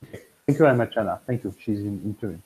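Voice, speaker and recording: A man's voice: fairly low pitch, animated, racing, darkish tone, slightly rough, rough recording. A man in his fifties with a French accent, talking on a video call as an admissions consultant, rambling with many fillers and restarts. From France. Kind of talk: video call webinar talk. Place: room at home.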